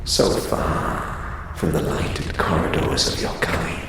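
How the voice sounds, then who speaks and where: A man playing a menacing hive mind of telepathic rats in an audio drama, slow, imperious and accusing in a gravelly, whispery growl with cavernous reverb. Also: extremely low pitch, fairly even intonation, distant mic, noisy background. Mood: commanding, suspicious. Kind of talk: fantasy audio drama acting. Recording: long echoing reverb, music underneath.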